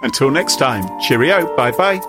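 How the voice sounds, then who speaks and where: A man's voice: mid-range voice, lively intonation, moderate pace, music in the background. A man hosting a podcast, wrapping up the show with jokey, playful banter. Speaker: podcast host.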